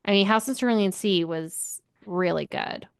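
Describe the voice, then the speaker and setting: A woman's voice: mid-range voice, moderate pace, creaky, smooth, dry recording, studio quality. A woman talking casually as co-host of a book club podcast.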